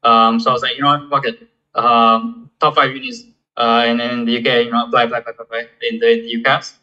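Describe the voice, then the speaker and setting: A young man in his twenties from Malaysia, a guest in a casual interview, talking in a halting way with many fillers and restarts. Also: medium pitch, flat delivery, bright, forceful tone, muffled recording. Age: 20s. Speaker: guest, student founder.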